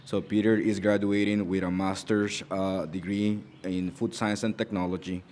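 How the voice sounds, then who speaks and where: A man with a somewhat low voice introduces his students at a graduation ceremony. He speaks haltingly and breaks up his sentences with fillers and restarts. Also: level tone, a little mellow, slight background noise.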